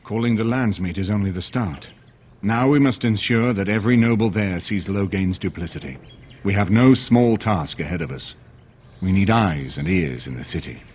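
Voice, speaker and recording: Earnest aristocratic adviser, fantasy game. Low register, measured formal speech, dignified. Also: male voice, a little mellow, breathy edge. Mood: grave, determined. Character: dignified, resolute male noble statesman. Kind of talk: dramatic fantasy game acting.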